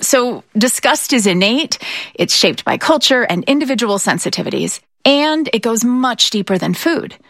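A woman from Utah hosting a podcast, narrating in a clear, measured way and interviewing guests. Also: on the high side, animated, fairly bright tone, no room sound. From Utah, USA. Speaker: podcast host. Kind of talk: scripted radio narration and interviewing.

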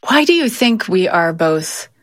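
A woman hosting a podcast, narrating a script and interviewing a guest with clear, measured delivery. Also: on the low side, very animated, fairly bright tone, no echo, clean studio sound. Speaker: podcast host.

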